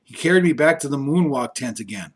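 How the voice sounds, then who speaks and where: A man reading a children's story aloud as scripted storytelling, with expressive delivery that shifts for characters. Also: medium pitch, fairly quick, husky.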